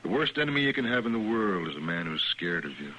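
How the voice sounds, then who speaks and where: Bookish sheepman, American accent, lowish forceful voice, deliberate and earnest, quietly defiant, radio western, old recording. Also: big pitch swings, slight background noise, rough recording. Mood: earnest, troubled.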